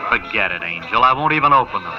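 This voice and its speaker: A muffled vintage radio drama with music features a man acting as a cocky, scheming hustler. His pitch is middling and swings widely as he delivers slangy, emphatic lines like a practiced sweet-talker. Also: lively intonation, quick pace, close to an everyday voice. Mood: cocky reassurance. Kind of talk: 1950s radio noir acting. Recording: dull old radio recording with music.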